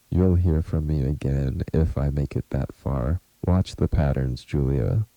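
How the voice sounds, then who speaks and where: A man playing a grave, haunted researcher in an audio drama, confiding a warning in a deliberate, ominous, earnest way. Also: rumbling low, vocal fry, mellow, dry recording, exaggerated voice, low-quality recording. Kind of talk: scripted audio drama monologue, recorded message.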